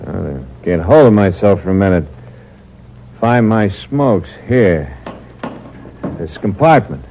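Cold impostor killer, very low voice, terse slang, hard-boiled 1940s radio drama, muffled old recording. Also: male voice, animated, slow pace, mellow, a little hiss or hum, exaggerated voice. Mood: menacing. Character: scheming cold male impostor and killer narrating his crime. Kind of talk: 1940s radio mystery acting, confessional narration.

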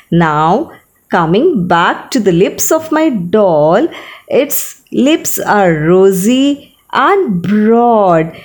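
A woman voicing a sweet, playful younger sister in a children's story, a young girl doting on her doll, with a drawn-out sing-song delivery. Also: mid-range voice, darkish tone.

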